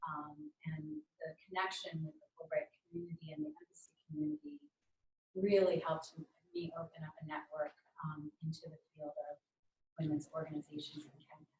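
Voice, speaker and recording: Woman in her 40s, program director, lowish voice, roomy echo. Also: moderate pace, darkish tone. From Ohio, United States. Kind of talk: panel self-introduction. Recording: distant mic in echoey room.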